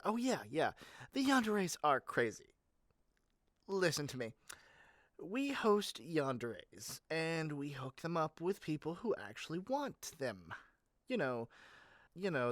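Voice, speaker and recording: Performer as a frazzled, cynical sales rep for an audio roleplay; mid-pitched, lively swoops, chatty theatrical asides, dry recording. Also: dry recording. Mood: animated, exasperated. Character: eager, shady, frazzled yandere matchmaking salesperson, cynical and fast-talking. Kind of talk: scripted audio roleplay monologue to one listener.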